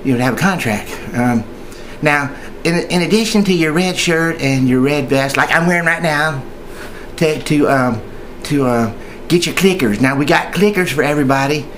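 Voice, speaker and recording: A man's voice: fairly high pitch, moderate pace, a bit bright. Rambling, folksy man over background noise. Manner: rambling, hesitant, folksy, repeats himself. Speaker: union member giving tips. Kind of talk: informal training video, chatty monologue.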